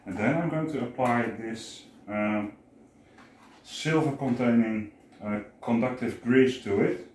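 Low-voiced man in an echoey room. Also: a little mellow, slight background noise. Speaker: host of a boat DIY channel. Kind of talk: casual hands-on explanation to camera. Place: indoors, home living room and boat cabin. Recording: echoey room, music behind.

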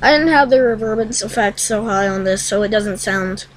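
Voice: mid-range voice, a little fast, slight background noise, poor audio. A young teenager hosting a casual screen-recorded tutorial in a rambling, hesitant way. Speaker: tutorial host. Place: room at home.

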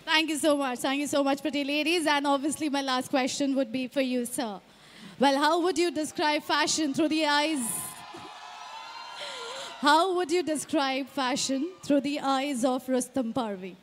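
A young Indian woman hosts a live stage event, questioning guests through a handheld mic. Her voice is pitched well above average. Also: fairly even intonation, normal speed, faint music behind. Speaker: event host. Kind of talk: stage interviewing. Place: large fashion show runway stage with audience. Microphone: handheld microphone.

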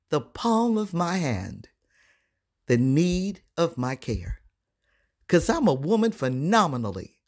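A woman voice-over artist giving an expressive, dramatic poetry recitation with confident, deliberate phrasing and a husky voice. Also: lowish voice.

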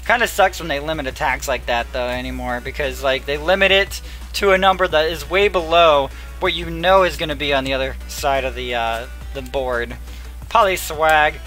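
A young man chatting casually while opening card packs, murmuring card names and getting excited now and then, his voice going hoarse. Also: a little high, moderate pace, faint music behind. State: losing his voice. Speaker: card pack opener. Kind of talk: casual pack-opening commentary. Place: small room at a table. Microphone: headset.

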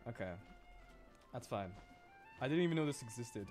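A man hosts a casual game livestream in a mid-pitched voice that moves up and down a lot. He talks loosely to viewers and reacts to play in a giggly, easily amused way. Faint music plays behind him. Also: lively intonation, normal speed, a little mellow. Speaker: livestreamer, VTuber host.

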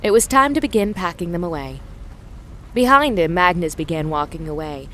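Low-voiced woman narrating a story. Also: lively intonation, moderate pace, a bit bright, slight background noise. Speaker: audio drama narrator.

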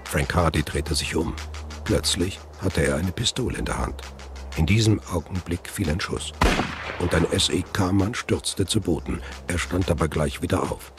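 A man's voice: low-pitched, moderate pace. A man narrating a scripted German thriller audio drama. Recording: music behind the narration.

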